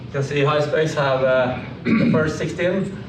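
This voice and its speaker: A man giving an informal technical slide presentation, explaining in a halting way. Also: a little high. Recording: echoey room, distant mic, clearly noisy.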